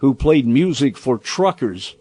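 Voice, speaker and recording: An older American man reading a scripted, nostalgic personal commentary in a deliberate, expressive storytelling manner. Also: mid-range voice, slightly rough, no room sound, studio quality. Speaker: radio commentator.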